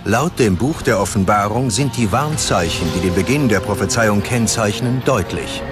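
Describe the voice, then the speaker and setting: A man narrates a documentary from a script. His voice sits low, and music plays clearly behind him throughout. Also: moderate pace.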